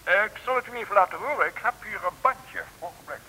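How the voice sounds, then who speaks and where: An actor playing a pompous, secretive intelligence colonel with a Dutch accent, officious and halting, in an old comic radio play. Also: male voice, high-pitched, expressive, bright, forceful tone, husky, full character voice, rough recording. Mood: officious, urgent. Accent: Dutch from the Netherlands. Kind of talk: 1950s-60s comic radio drama acting. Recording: hissy vintage radio recording.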